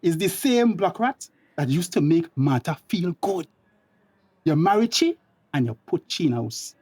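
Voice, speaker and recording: Combative Tobagonian uncle, very animated. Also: male voice, fairly high pitch, measured pace, husky, exaggerated voice. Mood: mocking. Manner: mocking, confrontational dialect speech. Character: combative, taunting family uncle guarding a secret. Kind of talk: radio drama acting.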